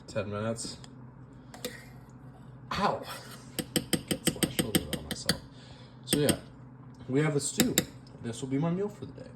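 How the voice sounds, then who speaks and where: A man's voice: mid-range voice, noisy background. A young man casually cooking a stew and rambling in a self-deprecating way, full of fillers, recorded in his home kitchen on a camera mic that he sometimes turns away from. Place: home kitchen, moving around the stove.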